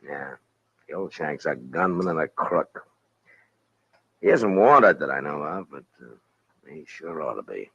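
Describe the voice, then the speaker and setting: An American actor playing a weary, watchful frontier marshal in radio drama, measured and plain-spoken. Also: male voice, very deep voice, expressive, a bit bright, husky, dry recording, exaggerated voice. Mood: guarded. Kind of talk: 1950s radio drama acting. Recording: old radio recording, dull and hissy.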